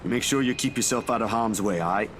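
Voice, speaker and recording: A man's voice: lowish voice, fast talker, background noise, lightly acted. A man voicing a caring, stubborn young man in a visual novel game, earnest and casually slangy. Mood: earnest, determined. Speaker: video game character.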